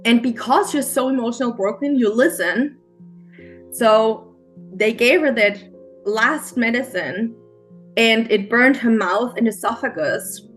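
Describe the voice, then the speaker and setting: A middle-aged woman with a German accent, a mindset coach, telling her story as an interview guest in a halting, earnest way with many restarts. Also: on the high side, soft background music, rough recording. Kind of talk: emotional personal storytelling interview.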